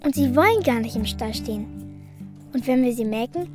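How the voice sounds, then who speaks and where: A woman's voice: fairly high pitch, expressive, fairly quick, over music, lightly acted. A woman playing a cheeky, bossy orphan girl with wistful moments in a children's radio drama, speaking in a pert, colloquial way. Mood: playful, bossy.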